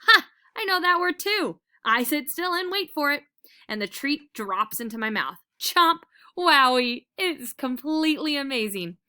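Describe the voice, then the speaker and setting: A woman voicing an excitable, gleeful male pet dog who loves treats, in a children's storybook read-aloud. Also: high voice, measured pace, pitched above the performer's own voice, full character voice. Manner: exclamatory, gleeful, boastful.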